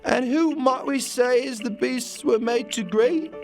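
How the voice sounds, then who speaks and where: A man's voice: very high-pitched, unhurried, faint music behind. Man acting a sly, mock-deferential servant. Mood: obsequious, sly. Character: sardonic, obsequious servant of the boss. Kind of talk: satirical radio drama acting.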